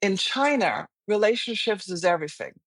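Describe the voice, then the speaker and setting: A middle-aged woman speaks with a German accent, earnest and reflective, often restarting her sentences as she thinks aloud. Her pitch sits in a middle range. The recording is dry and close, with no room sound. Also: animated, moderate pace, no room sound. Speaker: guest, finance executive. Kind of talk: interview conversation.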